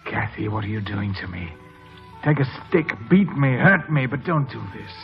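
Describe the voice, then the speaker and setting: An actor playing a brooding, passionate young stable hand in mid-century radio drama, speaking with bitter, theatrical intensity. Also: male voice, on the low side, lively intonation, moderate pace, creaky. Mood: pleading. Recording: dull old radio recording with music.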